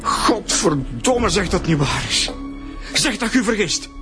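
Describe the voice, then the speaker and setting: A man's voice: high-pitched, sing-song, slow, loud music behind the voice, full character voice. An actor playing a desperate, betrayed Flemish father in a radio drama, emotional and insistent, repeating his words. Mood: anguished, angry. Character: anguished betrayed father, desperate, pleading, quick to curse.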